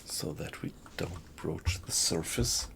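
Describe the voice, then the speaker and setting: A man with a German accent, chatting with viewers while he plays a submarine game, in a slow, hesitant way. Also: low voice, gravelly, a bit bright, a little hiss or hum. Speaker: game streamer. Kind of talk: casual live-stream commentary. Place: home room at night.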